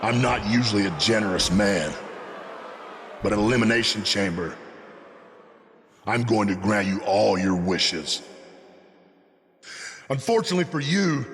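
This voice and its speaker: A man playing a hulking monster wrestler in a video game, speaking an ominous, mocking, drawn-out promo. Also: fairly low pitch, a bit bright. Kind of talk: in-ring promo speech. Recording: long arena reverb, clearly noisy.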